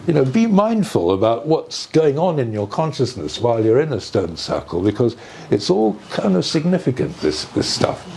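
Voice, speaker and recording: An older man giving an informal explanatory talk in a discursive, conversational way, with hesitant pauses and small asides. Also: mid-range voice, wildly swooping pitch, a little mellow. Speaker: speaker on earth energies and ancient sites. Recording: live talk with clear background noise.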